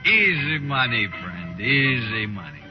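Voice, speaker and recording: A muffled vintage radio western, music running behind. a boastful, dangerous American drifter who speaks in an unhurried, folksy drawl and is quick to take offence. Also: male voice, mid-range voice, big pitch swings. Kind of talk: old-time radio western acting.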